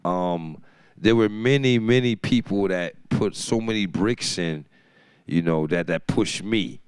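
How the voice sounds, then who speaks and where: A man's voice: sits low, unhurried, clear, resonant tone, dry recording, studio quality. A man who is a hip-hop DJ, talking in a laid-back, slangy way as a guest and hyping up the people around him. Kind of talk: hype MC talk.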